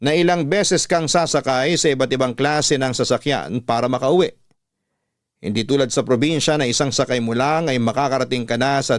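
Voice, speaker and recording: A man's voice: mid-range voice, no room sound. Male storyteller for radio confessional drama, scripted narration, dry studio sound, mid-range voice. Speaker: radio storyteller reading a letter.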